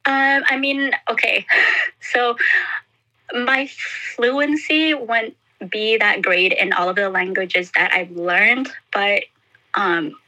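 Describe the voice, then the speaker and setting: A woman, a native Indonesian speaker, answering interview questions about growing up abroad in a hesitant, halting way with many fillers and restarts. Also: medium pitch, fairly even intonation, bright, forceful tone, rough recording. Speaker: interview guest. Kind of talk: casual interview.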